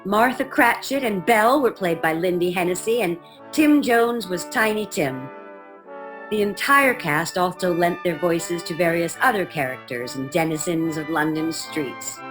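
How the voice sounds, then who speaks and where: A woman serves as narrator of a radio drama in a slightly low voice. She tells it in a measured, emphatic storytelling style, with faint music behind her. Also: fairly bright tone.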